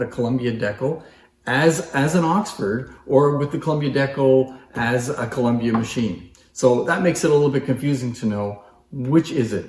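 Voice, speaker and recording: A man's voice: medium pitch, a little mellow. A man giving an informal, conversational demonstration and explanation as a presenter, with an appreciative manner. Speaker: presenter showing antique phonographs. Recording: echoey room.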